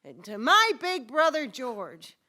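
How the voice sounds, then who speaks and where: Jubilant younger brother, radio drama, high bright voice, unhurried toast-giving delivery. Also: male voice, wildly swooping pitch, full character voice. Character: jubilant, proud younger brother home for Christmas. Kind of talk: old-time radio drama acting.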